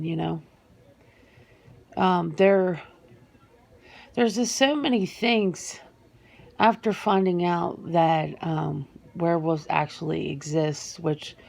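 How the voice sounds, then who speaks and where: A woman playing a reluctant, sentimental female werewolf in a soft-spoken ASMR interview roleplay, speaking haltingly and repeating words. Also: lowish voice, creaky. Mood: guarded, earnest.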